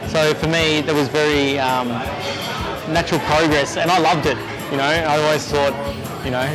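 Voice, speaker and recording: Easygoing Australian man, fairly high voice. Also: steady tone, over music, a crowd in the background, low-quality recording. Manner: easygoing, halting with many restarts and fillers. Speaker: executive chef, interview guest. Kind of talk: casual interview chat. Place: restaurant.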